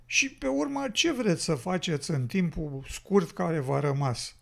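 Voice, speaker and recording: A man's voice: fairly high pitch, big pitch swings, gravelly. A man playing an anxious, well-meaning road builder with a plaintive manner, in an educational radio drama. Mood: anxious, apologetic.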